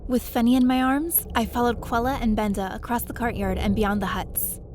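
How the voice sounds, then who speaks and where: Woman acting as youthful adventurer narrator, curious, earnest, higher voice, audio drama storytelling, faint noise behind. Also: level tone, fairly quick, darkish tone, close to an everyday voice. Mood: reflective. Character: curious, chatty, sheepish young woman adventurer. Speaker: lead character and narrator. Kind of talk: first-person scripted story narration with dialogue.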